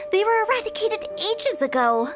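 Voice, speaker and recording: A young woman with a high, bright-spirited voice from a video game. She sounds playful and sure of herself, with a breezy theatrical flair. Faint music plays behind her. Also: exaggerated voice. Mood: amused, dismissive. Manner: breezy, theatrical, self-assured. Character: playful, confident young woman running a funeral parlor. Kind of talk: English video game voice acting.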